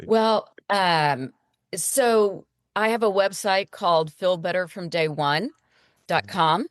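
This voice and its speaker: A woman's voice: on the low side, takes it slowly, clear, resonant tone, no room sound, clean studio sound. A middle-aged woman gynecologist answering interview questions in a confident, explanatory way. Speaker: gynecologist guest.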